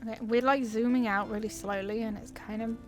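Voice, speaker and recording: A woman's voice: fairly high pitch, steady tone, fairly quick, soft background music. A woman giving casual, playful let's play commentary as the host of a gaming video, in a chatty, informal way. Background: video game ambience.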